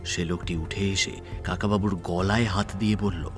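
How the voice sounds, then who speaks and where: A man's voice: low-pitched, fairly even intonation, moderate pace. A man narrating a detective audio story in a dramatic, scripted storytelling style. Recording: music behind the voice.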